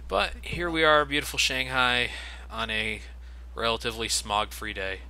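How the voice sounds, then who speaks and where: A man's voice: medium pitch, steady tone, moderate pace, pushed and bright, rough recording. A man giving casual, profane game commentary with a sardonic manner. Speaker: let's play host.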